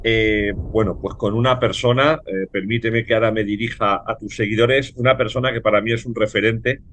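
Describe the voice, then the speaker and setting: Courteous Spanish professor, measured speech. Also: male voice, lowish voice, fairly even intonation, a bit bright. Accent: Castilian Spanish. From Spain. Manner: courteous, measured, with hesitant fillers. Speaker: guest professor. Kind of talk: relaxed academic conversation.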